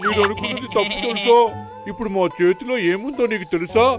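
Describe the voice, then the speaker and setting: Guy doing a cheeky cartoon schemer, high voice, bossy, music going behind. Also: fast talker, lightly acted. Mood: scheming. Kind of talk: dubbed children's cartoon acting.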